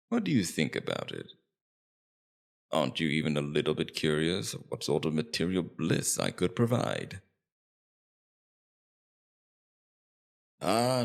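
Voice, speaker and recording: A man playing a suave, menacing demon king in an ASMR roleplay, coaxing and condescending in a slow, deliberate, domineering way. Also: extremely low pitch, vocal fry, exaggerated voice. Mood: smug persuasion. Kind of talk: ASMR roleplay character acting.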